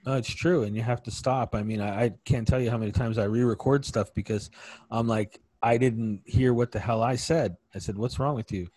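A man's voice: mid-range voice, steady tone, a little fast, a little mellow, no room sound. A man from New York City co-hosting a casual chat, plain-spoken, who often trails off with a short "so.".

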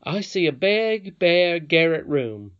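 A woman's voice: on the low side, very animated, slow pace, dull audio, pitched above the performer's own voice. A woman voicing a proud, stubborn young boy in a storybook, blunt and sulky. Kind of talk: storybook character reading.

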